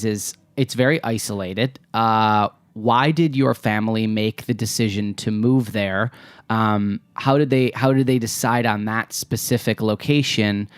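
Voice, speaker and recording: A man's voice: medium pitch, no room sound. A man hosting an interview show, asking long, rambling questions with hesitations. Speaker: podcast host.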